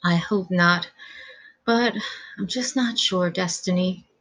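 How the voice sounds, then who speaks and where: A woman voicing a gentle, affectionate female bookseller in a children's storybook. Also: lowish voice, slow pace, poor audio. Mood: sad, unsure. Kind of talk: children's storybook read-aloud.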